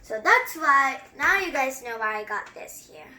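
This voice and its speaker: A female story-time host reading aloud and adding casual, chatty commentary in a halting way. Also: sits high, expressive, bright, forceful tone, a little hiss or hum. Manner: halting word-by-word reading, informal chatty asides. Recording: roomy echo.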